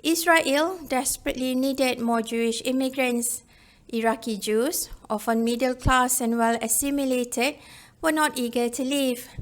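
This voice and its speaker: Woman, higher-pitched voice, book reviewer, scripted narration.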